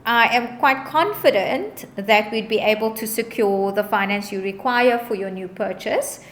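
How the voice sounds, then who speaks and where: Upbeat woman with a high voice. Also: measured pace, a bit bright. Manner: upbeat, encouraging, reassuring. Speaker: bond originator. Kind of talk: client consultation call.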